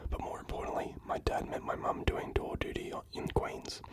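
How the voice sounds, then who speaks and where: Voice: normal speed, natural voice. A reader whispering the lines of an eager, competitive young female detective.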